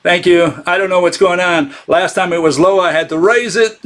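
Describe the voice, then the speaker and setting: A man's voice: sits high, normal speed, a bit bright. Sarcastic man hosting a live stream. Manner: sarcastic, chats with viewers. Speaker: channel host giving a critique. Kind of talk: casual live-streamed lecture.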